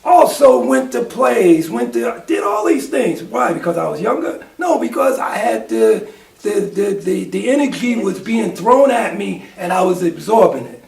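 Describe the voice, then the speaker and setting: A man with a gravelly, fry-filled voice, set a little higher than most men. He talks reflectively in a casual group discussion. He sounds distant in an echoey room. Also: very animated, normal speed, a little mellow.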